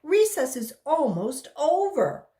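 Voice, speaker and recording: A woman's voice: high voice, very animated, slow, dark tone, voice pushed up, heavily acted. A woman voicing an impatient, demanding schoolboy who insists and pleads in a children's storybook.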